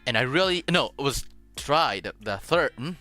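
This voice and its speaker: A man hosts a relaxed streamed radio chat as a native Japanese speaker. His voice is bright and swings in pitch while he pauses and searches for words. Music plays faintly underneath. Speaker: host of a streamed radio show. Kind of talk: casual chat, switching between English and Spanish.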